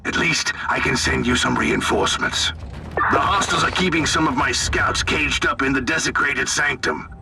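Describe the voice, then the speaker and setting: An actor playing a zealous, battle-hungry military commander in a video game, bombastic and brusque. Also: male voice, medium pitch, moderate pace, gravelly, background noise, lightly acted. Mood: grimly jubilant. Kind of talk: dramatic video game voice acting.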